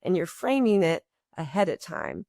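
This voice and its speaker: A woman's voice: lowish voice, huge pitch swings, normal speed, creaky, a little mellow, no echo, studio quality. A woman guest on a podcast, explaining ideas in long, building sentences with everyday examples. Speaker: podcast guest, company co-founder. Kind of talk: conversational explaining.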